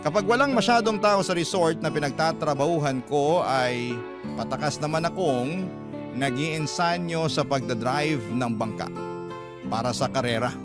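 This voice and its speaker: A man's voice: on the high side, normal speed, lightly acted. An actor narrating as Makoy, telling his story steadily in a radio drama. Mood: reflective. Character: Makoy as adult narrator looking back. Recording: guitar music under narration.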